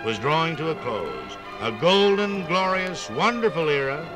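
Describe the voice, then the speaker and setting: A man narrating a scripted 1950s radio program in a theatrical, folksy storytelling manner with drawn-out emphasis. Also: a little high, big pitch swings, music in the background.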